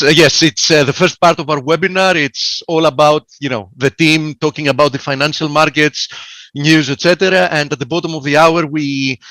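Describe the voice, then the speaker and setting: A male co-host talking in a friendly but halting manner, full of fillers. His voice sits on the higher side for a man. The sound is dry and close. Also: fairly bright tone, dry recording. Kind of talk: casual webinar chat.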